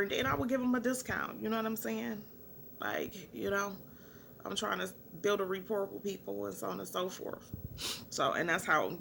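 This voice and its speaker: A middle-aged woman and small business owner talking casually to her viewers in a rambling way, with many fillers and pauses. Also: mid-range voice, creaky, a bit bright, slight background noise. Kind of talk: casual vlog monologue.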